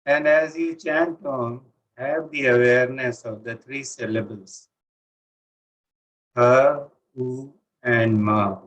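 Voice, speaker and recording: A man with an Indian accent leads a guided meditation, speaking calmly and deliberately with pauses between phrases. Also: mid-range voice. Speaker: meditation teacher. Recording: dull, lo-fi online session audio.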